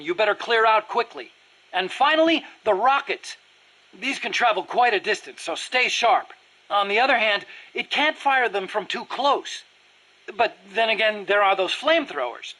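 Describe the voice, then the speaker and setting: An earnest American weapons engineer from a video game, speaks in a high, sharp-edged voice. He is nerdy and precise, eager to explain every technical detail. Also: exaggerated voice, low-quality recording. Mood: instructive. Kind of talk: video game dialogue acting.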